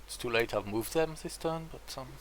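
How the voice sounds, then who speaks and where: Gravelly French man with hesitant chat. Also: medium pitch, brisk, slight background noise. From France. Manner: rambling, full of hesitations and restarts. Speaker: strategy game streamer. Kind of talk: casual chat while playing.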